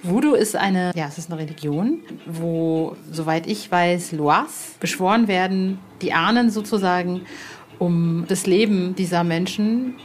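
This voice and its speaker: A woman talking casually as a podcast interviewer in an exhibition room, rephrasing haltingly as she thinks. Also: fairly low pitch. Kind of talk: casual, spontaneous conversation. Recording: clearly noisy indoor ambience.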